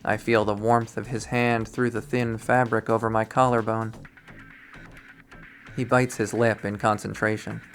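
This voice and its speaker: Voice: medium pitch, little pitch movement, unhurried, soft background music. A reader narrating a visual novel aloud in a dry, wry way. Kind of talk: dramatic read-aloud narration.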